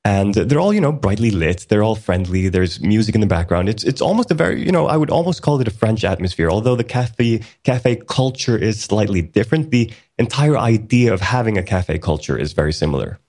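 A man co-hosting a casual podcast, explaining at length in a relaxed way with many ums and restarts. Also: on the low side, fairly quick, hardly any pauses. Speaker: podcast co-host.

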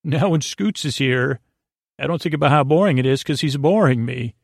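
A man with a gravelly, creaky voice hosts a bedtime podcast in a dry, close sound. He rambles haltingly from one absurd tangent to the next, in a low-stakes monologue meant to lull people to sleep. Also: no room sound. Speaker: podcast host.

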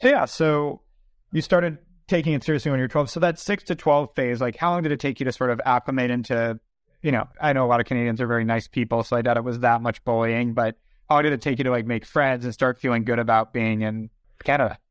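A man's voice: mid-range voice, fairly even intonation, quick pace, no echo, studio quality. A man hosting a podcast interview in a casual, informal and inquisitive way, with some joking.

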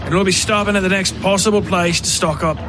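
Man doing a higher-pitched, officious train boss. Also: fairly even intonation, noisy background, close to an everyday voice. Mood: weary, curt. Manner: brisk, businesslike, foul-mouthed. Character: weary, officious little train boss, curt and sardonic.

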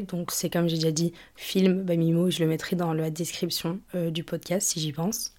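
A woman's voice: on the low side, mellow. A young woman from England hosting her own podcast, chatting casually and rambling with lots of fillers and restarts. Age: young adult. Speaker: podcast host. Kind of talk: casual solo podcast chat.

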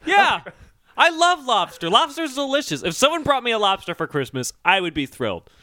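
Bright, lively high-voiced man bantering. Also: normal speed, no echo. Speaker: podcast co-host. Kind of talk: casual joking banter.

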